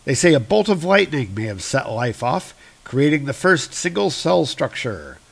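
A noble warrior god of thunder, read out by a man, speaks gravely in lofty, archaic phrases. He is a solemn comic book hero at a medium male pitch. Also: very animated, normal speed. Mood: reflective.

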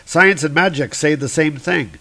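A man's voice: a little high, normal speed, gravelly, sharp tone. Man reading as a solemn thunder god. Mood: reflective. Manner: grave, archaic phrasing. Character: solemn, noble male thunder god warrior.

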